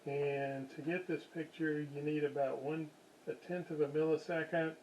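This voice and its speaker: A man giving an unscripted hands-on technical demonstration, haltingly thinking aloud as he works at radio repair. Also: fairly high pitch, level tone, darkish tone, dull audio, slight background noise.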